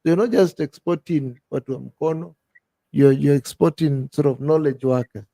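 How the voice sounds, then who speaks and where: A Kenyan man with a fairly high but gravelly, mellow voice, recorded dry and close. He tells stories in an animated, casual way, calling his co-host "bro" again and again. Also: moderate pace, no room sound. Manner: animated storyteller, peppers talk with "bro". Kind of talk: casual chat.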